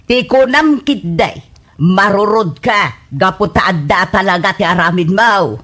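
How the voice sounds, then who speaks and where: A man putting on a nagging, suspicious old mother in an Ilocano comedy drama. The voice is high and sharp, scolding slowly with stretched-out exclamations. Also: animated, heavily acted.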